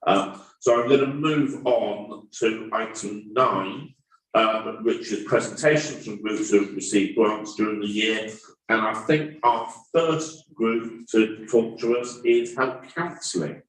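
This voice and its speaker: A man's voice: on the low side, takes it slowly. A man chairing a town meeting, stumbling and repeating words when he speaks off the cuff. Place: council meeting room. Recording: roomy hall, voice from far off.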